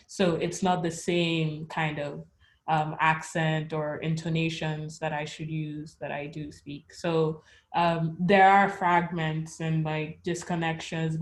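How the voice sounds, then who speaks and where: A young woman from Nigeria, an artist speaking reflectively in an interview, pausing often to search for words. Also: deep voice. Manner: thoughtful, searching, with pauses and fillers. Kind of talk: reflective conversation.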